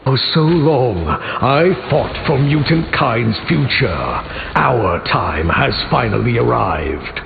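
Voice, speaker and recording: A man playing a proud, aging mutant leader in a video game, speaking formally and theatrically with deliberate, grandiose phrasing. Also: mid-range voice, animated, creaky, highs cut off, noisy background. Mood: grave, stately. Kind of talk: video game character dialogue.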